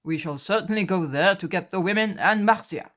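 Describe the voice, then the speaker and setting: A man's voice: sits high, a little fast, a bit bright, voice pushed up. A woman voicing Philip, a practical adult male disciple who speaks in a matter-of-fact way.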